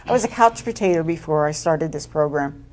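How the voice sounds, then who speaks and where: Female interviewee, earnest and enthusiastic, lowish voice, light room hiss. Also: expressive, fairly quick, a little mellow. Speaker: program participant. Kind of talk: testimonial interview.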